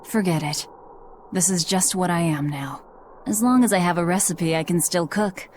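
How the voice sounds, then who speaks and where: A woman's voice: on the low side, moderate pace, darkish tone, slight background noise, close to an everyday voice. An actress playing a guarded young woman demon who devours her enemies, speaking in a curt, cold manner in a video game cutscene.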